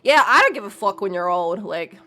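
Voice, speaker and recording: A woman's voice: mid-range voice, animated, brisk, sharp tone. A young woman streaming a game, chatting casually, blunt and swearing, laughing often. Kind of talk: casual live-stream commentary.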